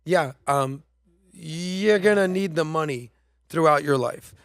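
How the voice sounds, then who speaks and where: A man's voice: fairly high pitch, takes it slowly, clear, resonant tone, dry recording. A man hosting a podcast, talking in a casual, emphatic and slangy way while commenting on played clips. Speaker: podcast host.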